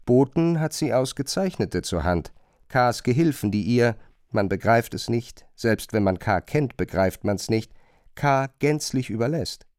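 A man's voice: medium pitch, animated, unhurried, a little mellow, clear, resonant tone, no room sound, studio quality. A man narrating a radio drama in a scripted, literary style.

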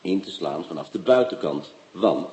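An actor playing a methodical, dryly superior police inspector in a radio play, with a probing, instructive manner. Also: male voice, deep voice, expressive, normal speed, mellow. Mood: analytical, instructive. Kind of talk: Dutch radio play acting.

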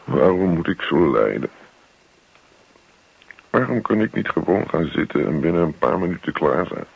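An actor playing a grumpy, self-pitying husband in a radio play, muttering to himself in a hesitant, put-upon way. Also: male voice, deep voice, animated, normal speed, vocal fry, slightly rough, exaggerated voice. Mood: weary, self-pitying. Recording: dull lo-fi radio play recording.

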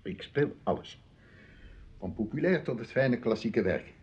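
An actor in a Dutch radio play, playing a courteous travelling accordion player with formal, florid manners. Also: male voice, mid-range voice, animated, measured pace, a little mellow, slight background noise, natural voice. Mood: boastful. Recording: dull lo-fi radio play recording.

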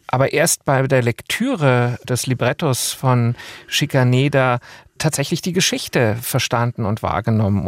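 A man's voice: mid-range voice, creaky, dry recording, studio quality. A man giving an interview as a director, explaining his view in a considered, articulate way. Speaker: theatre and radio play director, interviewee.

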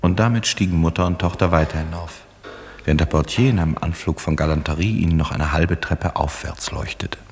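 A man with an extremely low, husky voice narrates a literary radio play. His storytelling is measured and dry, fully scripted. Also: darkish tone.

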